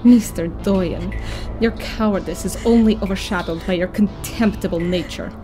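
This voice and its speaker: A woman playing a brisk, brave and scornful professor in a space adventure radio play, articulate and commanding. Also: mid-range voice, lightly acted, rough recording. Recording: music under the voice.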